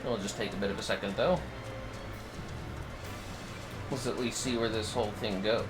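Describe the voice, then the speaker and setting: A man chatting casually on a gaming livestream, sounding drowsy and muttering his low-key commentary. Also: steady tone, fast talker, music in the background. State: tired, drowsy. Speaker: game livestreamer.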